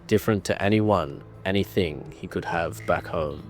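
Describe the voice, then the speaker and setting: A man narrating a scripted horror story in a measured, dramatic manner. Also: low voice, soft background music.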